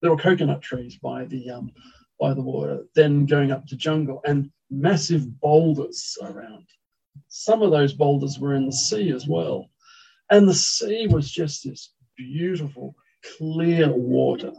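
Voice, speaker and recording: A man's voice: fairly high pitch, measured pace, gravelly, mellow, husky. A male pastor praying and then preaching a sermon, talking conversationally with frequent hesitations. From Thailand. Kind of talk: sermon with opening prayer. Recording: dull lo-fi sound.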